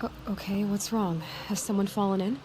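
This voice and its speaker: An actress playing a calm, concerned young female tracker in a video game, with a gentle, probing manner. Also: mid-range voice, a little fast, noisy background, lightly acted. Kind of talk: video game character acting.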